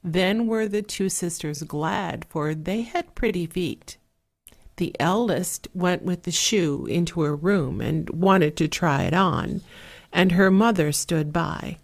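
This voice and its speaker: A soft-spoken woman narrating a fairy tale in a measured, relaxing way, with an 8D surround panning effect. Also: on the low side, vocal fry. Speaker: storyteller.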